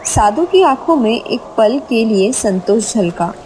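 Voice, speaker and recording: A woman's voice: fairly high pitch, lively intonation, measured pace, darkish tone, slight background noise, rough recording. A woman narrating a scripted horror story with dramatic storytelling delivery.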